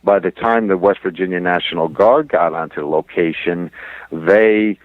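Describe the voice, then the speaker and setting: A man's voice: low-pitched, moderate pace, dry recording. A man being interviewed as a guest on a radio show, recounting his research in an insistent way, circling back over his points. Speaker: interview guest, author and investigator. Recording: muffled narrow phone-like line.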